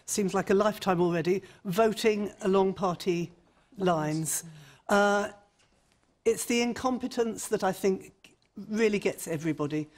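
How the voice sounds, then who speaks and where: A woman with a mid-pitched voice speaks up from a debate audience. She is exasperated and feels her way haltingly through her point. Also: a little mellow. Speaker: audience member. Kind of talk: spontaneous debate comment.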